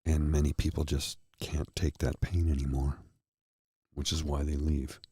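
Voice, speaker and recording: A man with a very deep, dark, husky voice speaks slowly and earnestly, a tired frog in his throat. The sound is dry and close, with no room around it. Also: flat delivery, dry recording. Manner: slow, earnest, heartfelt. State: tired and a little froggy-throated. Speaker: host. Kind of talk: candid personal talk to viewers.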